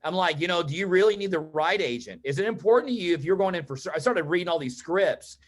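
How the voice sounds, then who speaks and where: A man's voice: high voice, animated, fast. American man telling his story casually. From Texas, United States. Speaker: interview guest, real estate agent and coach. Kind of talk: casual personal storytelling in an online meeting.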